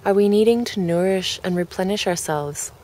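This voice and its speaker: A woman leading a guided relaxation, speaking gently in measured phrases with pauses between them. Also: mid-range voice. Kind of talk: guided relaxation, meditation narration.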